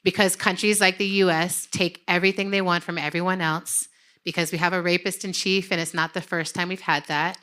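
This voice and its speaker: A woman's voice: fairly low pitch, level tone, a little fast, sharp tone. A woman co-hosting a live podcast taping on stage, interviewing her sister and acting out her own reactions in quoted voices. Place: live event stage before an audience.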